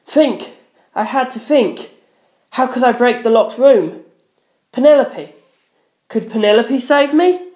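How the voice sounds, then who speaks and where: A man's voice: extremely high pitch, animated, takes it slowly, long pauses, darkish tone, voice pushed up, full character voice. A man voicing a desperate, panicking young male hero in a horror mystery story with urgent, strained exclamations. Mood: panicked. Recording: roomy echo.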